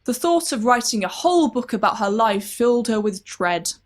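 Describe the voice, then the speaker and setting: A woman's voice: fairly high pitch, expressive, moderate pace. A woman reading a scripted story aloud as a dramatic narrator.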